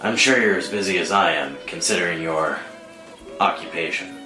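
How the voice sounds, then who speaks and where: A man playing a brooding, guarded vigilante in a screenplay table read, speaking in an understated, measured way. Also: low voice, lightly acted. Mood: guarded, wry. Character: Bruce, brooding guarded adult male vigilante softening in love. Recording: roomy echo under dramatic score music.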